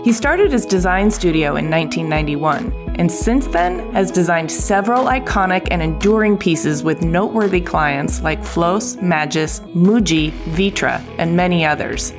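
A woman's voice: on the low side, lively intonation, normal speed, few pauses. A woman hosting an interview podcast, who reads a scripted introduction and then asks questions in an upbeat manner. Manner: upbeat, with easy laughter. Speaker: podcast host. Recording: music bed behind voice.

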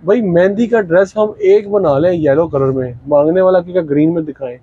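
A young man running a bridal dress shop, showing outfits and pitching prices in a chatty, teasing salesman's way. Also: brisk, breathy edge, dry recording, phone-quality sound. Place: busy indoor dress shop.

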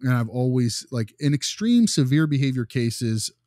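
A man's voice: mid-range voice, big pitch swings, moderate pace, warm tone, dry recording, studio quality. A man talking casually as a podcast co-host, emphatic and often breaking off to restart his thought. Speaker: podcast co-host, behavior analyst.

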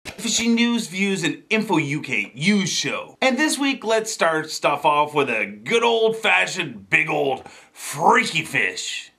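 A man presents fishing news as a comedy host, with a bright, forceful voice and highly animated pitch. He exaggerates his delivery for laughs, leaning on emphatic pauses and tossing in jokey asides. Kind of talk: comedic scripted news commentary to camera.

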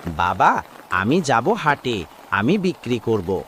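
A man's voice: mid-range voice, sing-song, slow, fairly bright tone, a little hiss or hum. A man playing an eager young fisherman's son in a children's cartoon story.

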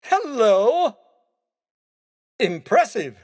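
A man voicing a theatrical, excitable skeleton gentleman in a racing video game, with courteous, exclamatory delivery. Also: very high-pitched, very slow, breathy edge, full character voice. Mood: gleeful. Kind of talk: video game character voice clips.

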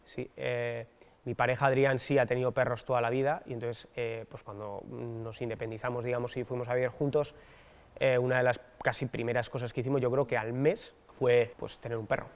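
A man in his thirties, a political candidate, giving thoughtful, hesitant interview answers with many fillers and restarts on a dark studio set. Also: mid-range voice, little pitch movement, a little fast, big-room sound. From Basque Country, Spain.

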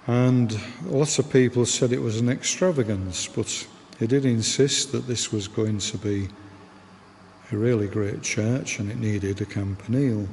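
A man with a Northern English accent, giving a slow, gentle guided tour inside a large church, recorded on a handheld camera. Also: on the low side. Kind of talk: unscripted guided tour voice-over. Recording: reverberant church hall, faint background noise.

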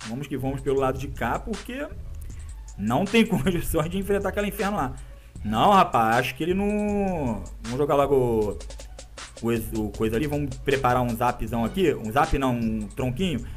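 A man, congested with a cold and sniffling, giving excitable, slang-filled live gameplay commentary with frequent laughs, recorded in a small indoor room. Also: medium pitch, moderate pace, faint music behind. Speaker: gaming YouTuber. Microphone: webcam framing, headphones around neck.

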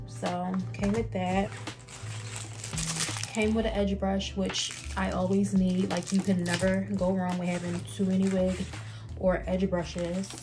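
A young adult woman with an American accent, a vlogger chatting casually to the camera. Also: medium pitch. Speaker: beauty and lifestyle vlogger.

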